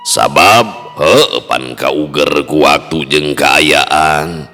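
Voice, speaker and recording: Lalaki nyoarakeun raja jin sepuh, sorana agem pisan. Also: male voice, very deep voice, pushed and bright, full character voice. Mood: trusting, earnest. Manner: slow, solemn, fatherly counsel. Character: wise, authoritative old jinn king, a father. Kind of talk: Sundanese storytelling character voice. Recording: long hall reverb, music underneath.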